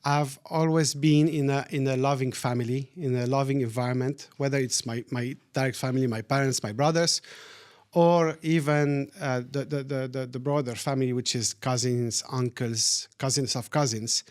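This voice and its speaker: Middle-aged man born in Syria, interview guest, thoughtful and halting, mid-range voice. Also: medium pitch, fairly even intonation, a little mellow. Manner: thoughtful, repeats words while searching for them. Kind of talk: casual interview chat.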